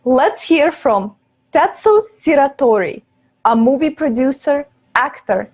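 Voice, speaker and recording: A woman moderating an online conference, reading polite, deliberate scripted announcements. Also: a little high.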